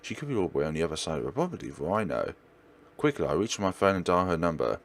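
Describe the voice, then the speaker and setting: Casting: male let's play host, low voice, theatrical and animated narration of horror text, occasional stumbles. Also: rapid-fire. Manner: theatrical, stumbles and rereads words. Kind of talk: dramatic read-aloud narration of a visual novel.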